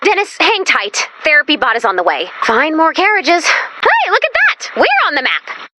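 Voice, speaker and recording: Young female robot guide for a video game: high-pitched, swoopy delivery, perky yet anxious and sarcastic, theatrically exasperated. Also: wildly swooping pitch, normal speed, bright, forceful tone, slight background noise, exaggerated voice. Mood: excited, theatrical. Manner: theatrical, wry, swinging between perky and exasperated. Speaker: mission guide character. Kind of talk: animated video game voice lines.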